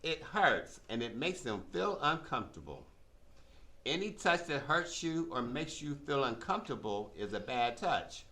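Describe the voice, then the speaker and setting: Slow, animated male children's narrator. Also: medium pitch. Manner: instructive, emphatic, addressing children. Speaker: narrator of puppet show. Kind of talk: scripted children's storytelling narration.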